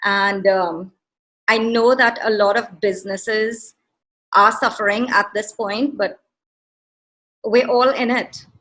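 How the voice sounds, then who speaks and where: A woman's voice: mid-range voice, fairly even intonation, slow, long pauses, a bit bright, rough recording. A middle-aged woman from India with a Trinidadian accent, talking earnestly in an interview with many fillers and restarts. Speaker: guest. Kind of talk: casual interview chat.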